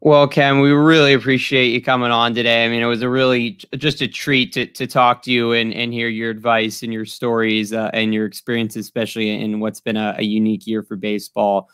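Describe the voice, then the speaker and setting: A young man in his twenties hosts an interview in a polite, slightly halting way. His voice is mid-pitched, smooth and clear, with very little room sound on the video call. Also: flat delivery, a bit bright, dry recording, studio quality. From San Francisco Bay Area, USA. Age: 20s. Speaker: student podcast host. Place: small room, indoors. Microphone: video call, close and dry.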